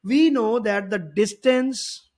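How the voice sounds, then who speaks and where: Guy tutor w a pretty high voice explaining a physics question step by step, rephrases stuff a lot. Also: takes it slowly, darkish tone. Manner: explanatory, repeats and rephrases points. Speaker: physics teacher. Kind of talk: worked-problem tutorial.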